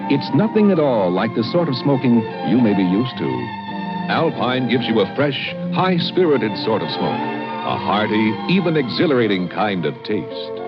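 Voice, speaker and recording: A man's voice: mid-range voice, very animated, normal speed. A man announcing a scripted advertisement in the style of 1950s radio. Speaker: commercial announcer. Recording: muffled vintage radio recording over music.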